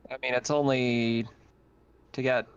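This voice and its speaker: A man with a mid-pitched voice runs a tabletop game, giving casual explanations. His online voice chat sounds a little dull and lo-fi. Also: flat delivery, measured pace, clear, resonant tone.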